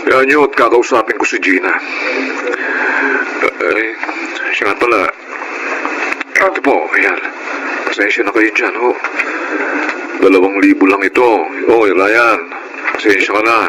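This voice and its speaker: A man's voice: fairly low pitch, a little slow, long pauses, lightly acted. Placating husband, played by a man, noisy recording. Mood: soothing. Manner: placating, earnest. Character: patient, placating husband, hard-working dutiful son. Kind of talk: radio drama acting.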